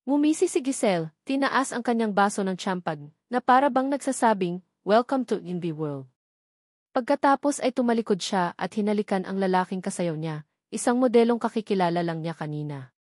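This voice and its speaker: A woman's voice: medium pitch, a little mellow, no room sound, clean studio sound. A woman storyteller giving scripted, dramatic audiobook narration.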